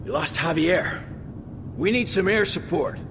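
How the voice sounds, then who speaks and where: Brisk male game agent: high pitch, lively inflection, direct and urgent, echo, loud music. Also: background noise. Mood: brisk concern. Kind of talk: video game cutscene acting. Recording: echoey, loud music behind.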